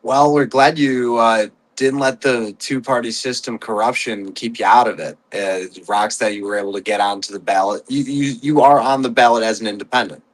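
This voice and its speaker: A man hosting a casual interview over a video call, talking in a relaxed way with fillers and repeated words. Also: medium pitch, moderate pace, hardly any pauses, poor audio. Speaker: host.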